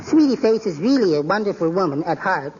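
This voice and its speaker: An actor playing a meek, henpecked little man in a 1940s radio comedy, talking softly with small nervous chuckles. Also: high voice, very animated, a little fast. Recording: muffled hissy 1940s radio broadcast.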